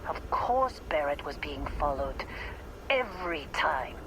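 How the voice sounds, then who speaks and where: Low-voiced, blunt space-mine supervisor; commanding, dry, swings widely in pitch, faint noise behind. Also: female voice, sing-song, measured pace. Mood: curt, irritated. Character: gruff, no-nonsense female mining supervisor, weary and blunt. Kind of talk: video game voice acting.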